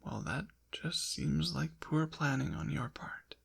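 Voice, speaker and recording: A man voicing a haughty, possessive ancient demon in an intimate ASMR roleplay, with formal, deliberate diction and a breathy, gravelly whisper. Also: fairly high pitch, heavily acted. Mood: curious, dry. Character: possessive ancient male demon, haughty, teasing, quietly softening. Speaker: audio roleplay performer.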